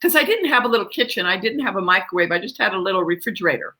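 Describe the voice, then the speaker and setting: A woman's voice: medium pitch, animated, rapid-fire, bright, forceful tone. An older woman, upbeat, telling personal stories conversationally as a guest author.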